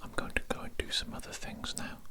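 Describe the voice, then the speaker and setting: An evil cannibal doctor, played by a man for an ASMR roleplay, speaks entirely in a breathy whisper. He is formal, menacing and controlling. His phrases come politely and are often repeated. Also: fast, natural voice. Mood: controlled, menacing. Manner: formal, politely domineering, repetitive. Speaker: ASMR performer.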